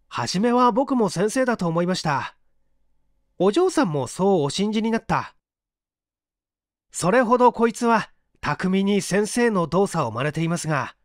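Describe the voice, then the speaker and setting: A man voicing an earnest, quick-witted young male assistant who speaks urgently and politely in a dramatic story reading. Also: high voice, dry recording, clean studio sound. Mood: fierce, accusing. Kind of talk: dramatic audiobook character reading.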